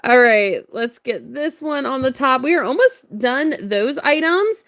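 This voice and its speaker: Chatty lady on a craft livestream, high voice, kinda scratchy from talking all day, laughs a lot. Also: lively intonation, unhurried, a bit bright. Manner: chatty, upbeat, laughs often. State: hoarse, voice strained from hours of talking. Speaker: livestream crafter and shop owner. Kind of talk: casual livestream chat greeting viewers.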